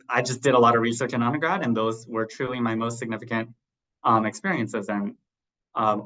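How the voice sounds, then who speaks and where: Bright-voiced man on a video call panel. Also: medium pitch, low-quality recording. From Southern California. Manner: conversational, many "like" fillers. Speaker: MD-PhD student panelist. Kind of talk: casual panel talk.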